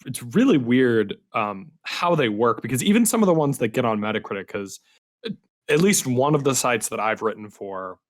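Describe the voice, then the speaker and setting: A man's voice: medium pitch, animated, normal speed. A man hosting a podcast, interviewing a guest in a casual, conversational way.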